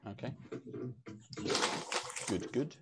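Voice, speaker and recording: A man narrating as game master in a relaxed, meandering way, in dull lo-fi audio with faint hiss. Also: very slow.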